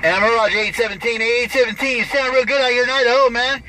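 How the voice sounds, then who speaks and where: A man's voice: very high-pitched, steady tone, fast, loud music behind the voice. An American man chattering on CB radio from inside a vehicle in showy, repetitive, sing-song call-outs. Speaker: CB radio operator and video recorder. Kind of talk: CB radio chatter with rhythmic repeated catchphrases. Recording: loud vehicle and radio background noise.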